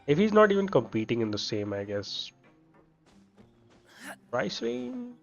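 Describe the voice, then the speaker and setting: A gamer with a creaky voice mumbles casual commentary while playing. The audio is slightly dull, with faint game music behind. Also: mid-range voice, very animated, measured pace. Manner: offhand, muttering to self. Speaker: video game streamer.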